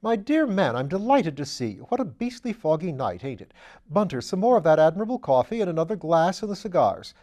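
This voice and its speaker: An excitable upper-class gentleman sleuth, as a male reader puts him on, pitched well above the reader's own voice. He is chatty, playful and theatrical, a whimsical audiobook character. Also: brisk. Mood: exuberant.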